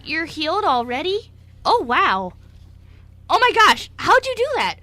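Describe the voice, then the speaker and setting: A woman playing an eager, naive young male dog servant in an amateur radio play, with wide-eyed, excitable questions. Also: very high voice, unhurried, no echo, heavily acted. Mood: amazed, excited.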